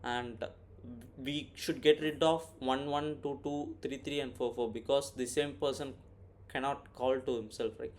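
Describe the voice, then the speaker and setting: A man's voice: mid-range voice, darkish tone. A man walking through an informal screen-recorded coding tutorial, thinking aloud and often checking in with "right?". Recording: clear background noise, keyboard typing. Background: computer keyboard typing, background noise.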